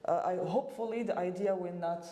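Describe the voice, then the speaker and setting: A woman from Morocco, a French speaker, talks as a podcast guest in a halting way with many fillers. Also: lowish voice, dark tone. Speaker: guest, assistant director. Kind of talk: casual podcast chat. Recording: roomy room echo.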